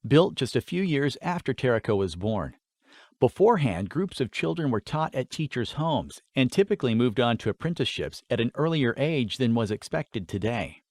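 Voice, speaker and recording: A man reads a fantasy audiobook with lively, wide-ranging intonation. His delivery is that of an expressive storyteller, and the sound is close and dry with almost no room in it. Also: mid-range voice, no echo. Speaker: audiobook narrator. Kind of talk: scripted fantasy story narration.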